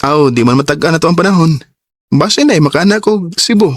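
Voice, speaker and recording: A man's voice: a little high, animated, fast talker, no echo. A man playing an eager, sincere young suitor in a Cebuano radio drama, with a Cebuano accent. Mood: tender.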